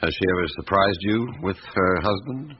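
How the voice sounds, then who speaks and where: Man acting middle-aged detective, British accent, very deep voice, patient, laconic, probing, radio drama, dull recording with faint hiss. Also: close to an everyday voice. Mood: blunt, probing. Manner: probing, laconic, hesitant pauses. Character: shrewd, patient, blunt middle-aged male police inspector.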